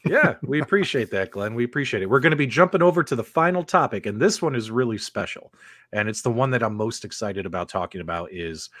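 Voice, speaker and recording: A man's voice: medium pitch, animated, fast, fairly bright tone, no room sound, studio quality. A man hosting a live online show, upbeat and teasing as he brings in callers. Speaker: host.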